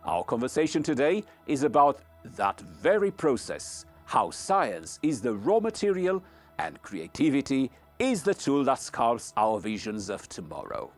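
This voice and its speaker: Slow-paced, expressive male podcast host. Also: mid-range voice, soft background music. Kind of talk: scripted podcast introduction and interview.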